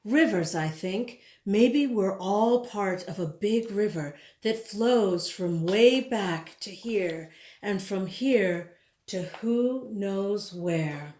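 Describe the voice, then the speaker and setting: A woman reads a picture book aloud for children at a slow, measured pace. Her phrasing is dramatic and deliberate, with words stretched out for emphasis. The recording has a noticeably roomy, echoing sound. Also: medium pitch, lively intonation, dark tone. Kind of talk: expressive storybook read-aloud.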